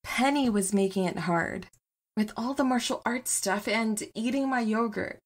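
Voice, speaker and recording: A woman playing a warm, wry, loyal partner in a naturalistic comedy audio drama, teasing with a deadpan touch. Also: fairly high pitch, normal speed. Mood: wry fondness.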